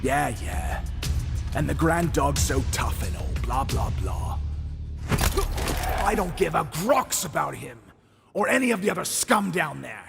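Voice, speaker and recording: Swaggering cocky gang leader, game cutscene, croaky edge, loud score under it. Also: male voice, a little high, very animated, a little slow, long pauses, music in the background, full character voice. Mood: dismissive bravado. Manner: swaggering, slangy. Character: brash cocky male gang leader of brutish thugs.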